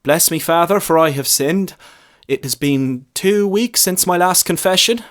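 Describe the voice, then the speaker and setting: A man's voice: a little high, unhurried, pitched above the performer's own voice, lightly acted. A man voicing a nervous, guilty young male parishioner in a story, stammering, earnest and panicky. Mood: sheepish. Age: young adult. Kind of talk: short story character reading.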